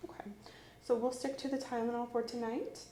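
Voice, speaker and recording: Soft-spoken woman in a medical roleplay. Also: a little high, slight background noise. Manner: calm, slow, clinical instructions with whispered stretches. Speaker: doctor in a roleplay. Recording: roomy echo.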